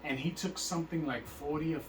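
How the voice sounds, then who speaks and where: A man's voice: fairly high pitch, steady tone, fairly quick, roomy sound. Man teaching from afar, checking in often. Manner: emphatic, keeps checking understanding. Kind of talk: religious class instruction. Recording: faint hiss, speaker far from mic.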